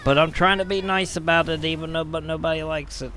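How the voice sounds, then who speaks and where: A man with a hoarse voice that is giving out, casually commenting on a game on a live stream with wry, grumbling asides. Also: fairly high pitch, fairly even intonation, fast, bright, forceful tone, a little hiss or hum. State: hoarse, losing his voice. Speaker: gaming streamer.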